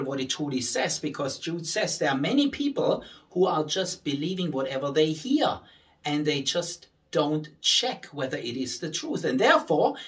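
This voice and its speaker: A middle-aged man, a church pastor, giving an earnest, measured message. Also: on the high side, fairly bright tone.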